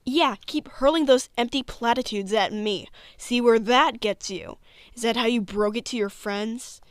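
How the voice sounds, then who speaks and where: A brash teenage witch jock from a cartoon, acted by a woman, speaks in a fairly high voice recorded close and dry. She is sarcastic and blunt, always sounding exasperated. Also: measured pace, dry recording, close to an everyday voice. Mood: scornful, heated. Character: brash, sarcastic athletic three-eyed teenage witch girl. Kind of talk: comic dub acting.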